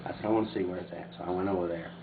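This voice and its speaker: A man telling stories and reading aloud informally among family, animated and often breaking into laughter. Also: low-pitched, very fast, creaky. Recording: muffled phone-like audio, echoey, distant, noisy room.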